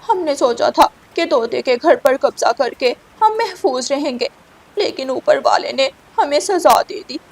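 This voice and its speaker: Woman voicing a high, insistent crow wife. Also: animated, a little slow, gravelly, exaggerated voice. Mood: regretful. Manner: insistent, complaining. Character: female crow wife, complaining and crafty mother. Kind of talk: children's cartoon voice acting.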